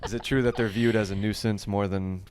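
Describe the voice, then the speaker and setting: A man's voice: on the low side, fairly even intonation, fairly quick, crowd noise. A man hosting a podcast, casually asking curious follow-up questions. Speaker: podcast host. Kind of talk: casual interview.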